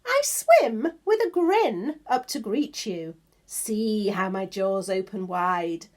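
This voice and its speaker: A sly storybook shark, read aloud by a woman, grinning and coaxing. The delivery is unhurried, with pitch that swings up and then sinks on the lure. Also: very animated. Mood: sly, inviting. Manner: coaxing, drawn-out invitation. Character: grinning, sly shark luring prey closer.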